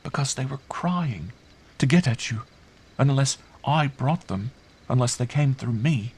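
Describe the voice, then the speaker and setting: A man's voice: medium pitch, unhurried, slightly rough, pitched above the performer's own voice. A man voicing a bewildered, earnest young girl in an audiobook, trusting and fragile, speaking simply. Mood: hushed, earnest. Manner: hushed, simple, earnest delivery. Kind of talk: audiobook character voice.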